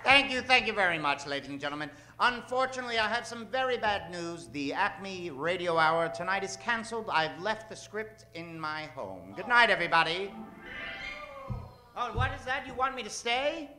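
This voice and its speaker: A man's voice: high voice, lively intonation, moderate pace, pushed and bright, faint music behind. A man hosting a live comedy radio show from a theater stage, bantering with the audience and drawing out names in a theatrical announcer style.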